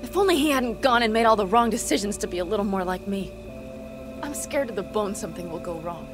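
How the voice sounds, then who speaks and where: An actress playing a fierce but warm-hearted young nomad clan leader in a video game, speaking bluntly and with emphasis. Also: female voice, medium pitch, lively intonation, quick pace, over music, close to an everyday voice. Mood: tense, anxious. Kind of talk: video game cutscene dialogue.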